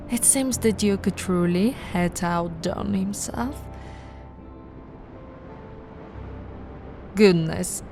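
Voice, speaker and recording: An ancient vampire noblewoman, acted by a woman for an ASMR roleplay, speaking at an unhurried, lingering pace. Her voice has a crackly edge and often drops to a breathy whisper, seductive and possessive, over faint music. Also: a little high. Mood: sated, gentle. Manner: slow, teasing, breathy whispering with soft laughs.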